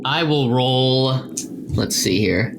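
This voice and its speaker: A man's voice: medium pitch, lively intonation, slow, background noise. A man playing a tabletop roleplaying game, chatting casually and somewhat hesitantly as he thinks aloud. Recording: clear background music under the voice. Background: background music.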